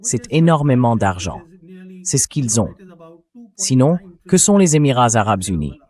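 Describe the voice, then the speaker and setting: A man's synthetic voice reading translated commentary as an interview guest, explaining points in a measured way. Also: mid-range voice, very animated, darkish tone, slightly rough. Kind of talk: translated political commentary voice-over read by synthetic voice.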